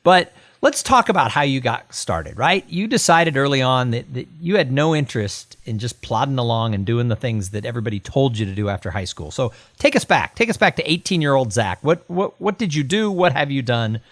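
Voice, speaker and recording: A man's voice: medium pitch, sing-song, fairly quick, hardly any pauses, a bit bright, no room sound. A middle-aged man hosting a podcast interview, chatty and enthusiastic, also reading a sponsor message. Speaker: podcast host.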